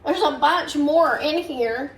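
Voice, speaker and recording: High-voiced chatty woman at the stove. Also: slow pace. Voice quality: slightly nasal. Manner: chatty, talks to viewers as "guys" while working at the stove. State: recovering from a fever. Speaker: cooking vlogger. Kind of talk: casual chat while cooking. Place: home kitchen. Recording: echoey kitchen, mic a bit far off. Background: sizzling meat in a skillet.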